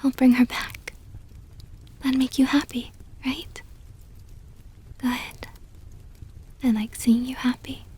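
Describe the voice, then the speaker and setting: A woman voicing a possessive, doting vampire countess in an intimate ASMR roleplay, whispering softly and slowly with a tender, teasing, coaxing manner. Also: sits high, level tone, heavily acted. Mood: soothing, affectionate. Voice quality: breathy, soft whisper. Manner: slow, coaxing, affectionate murmur.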